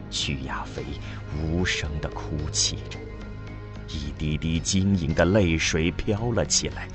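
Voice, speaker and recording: A man giving solemn, expressive scripted narration for a science fiction audio drama. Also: a bass voice. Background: music.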